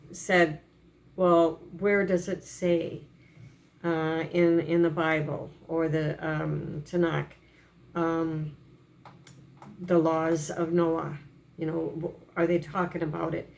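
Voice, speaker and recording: Lady w a froggy morning voice, kinda low, reads and explains scripture real slow, lots of ums. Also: level tone, creaky, slight background noise. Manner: hesitant, many fillers. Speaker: religious teacher. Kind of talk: reading and explaining a religious text.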